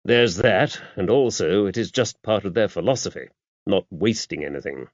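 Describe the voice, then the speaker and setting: A man voicing a mild, knowledgeable clergyman in audiobook dialogue, measured and explanatory. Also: on the low side, no room sound, close to an everyday voice. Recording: dry, dull lo-fi audio.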